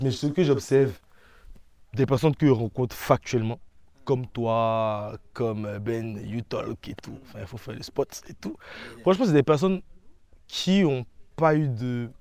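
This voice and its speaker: A man's voice: medium pitch, no room sound. Man explaining, dry close sound. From Ivory Coast. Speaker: interview guest. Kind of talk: conversational interview answers.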